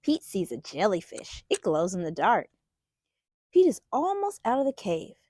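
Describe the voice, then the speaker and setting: A woman's voice: mid-range voice, huge pitch swings, moderate pace, mellow, no room sound, studio quality. A woman hosting a children's storytime, reading a picture book aloud expressively and putting questions to young listeners. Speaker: children's storytime host and reader.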